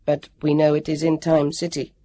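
A woman's voice: sits low, completely flat delivery, normal speed, mellow, dry recording, clean studio sound. A woman voicing a stoic, injured male android in an audiobook, formal and precise, with a strained voice. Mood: formal, urgent. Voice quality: forced, strained, scratchy. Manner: stiff, precise, formal wording. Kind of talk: audiobook character voice.

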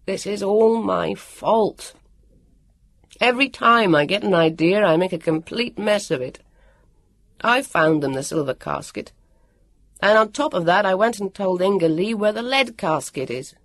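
A woman's voice: lowish voice, fairly even intonation, a little slow, vocal fry, dry recording, studio quality, voice pushed up. A woman voicing an earnest, self-dramatising boy who speaks precisely and emphatically in a storybook reading. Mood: despairing howl.

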